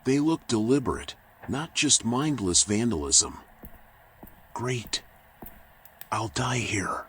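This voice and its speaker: A man's voice: mid-range voice, sing-song, takes it slowly, long pauses, fairly bright tone, slight background noise. A man voicing a weary, self-loathing raccoon private eye in a noir video game, brooding and bitter, muttering with a whispery edge. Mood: gloomy, weary. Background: music.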